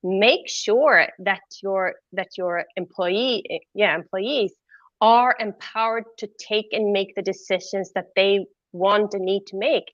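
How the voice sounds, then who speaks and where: A woman's voice: medium pitch, a little slow, no echo, dull audio. A woman from Sweden with a Swedish accent, a guest answering interview questions in an open, candid way and laughing easily. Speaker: guest, entrepreneur.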